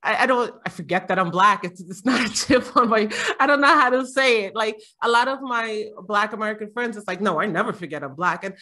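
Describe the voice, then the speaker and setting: A woman's voice: mid-range voice, fairly quick, few pauses, bright, forceful tone. A middle-aged woman from Jamaica chatting casually as a panel guest, rambling with frequent fillers and laughs. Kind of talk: casual conversation.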